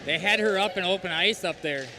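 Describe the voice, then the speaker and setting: A man's voice: high-pitched, brisk, background noise. A man doing color commentary on a hockey game, chatty and joking in his asides.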